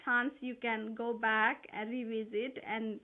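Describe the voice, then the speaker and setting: A woman whose first language is Nepali, speaking English as a physician guest in an earnest, halting way with frequent restarts, over a video call. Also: on the high side, flat delivery, phone-quality sound. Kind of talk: casual interview chat.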